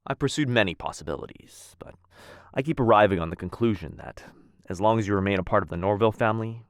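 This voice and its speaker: A man's voice: low voice, no echo, studio quality. A man playing a menacing yet lovestruck assassin in a boyfriend ASMR roleplay, speaking intimately and teasingly in formal, old-fashioned phrases. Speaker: voice actor.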